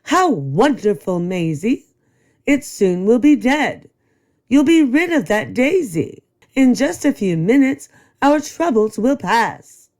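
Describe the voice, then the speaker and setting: A woman's voice: a little high, sing-song, slow, a little mellow, voice pushed up, heavily acted. A woman doing a fussy, bossy principal. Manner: emphatic, declaiming in rhyme.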